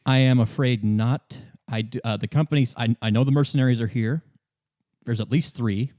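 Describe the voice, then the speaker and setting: Composed, worldly company woman, acted out by a man for tabletop roleplay; halting explanations, weary air, dry sound. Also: mid-range voice, warm tone, no echo, natural voice. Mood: careful. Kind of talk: in-character tabletop roleplay exposition.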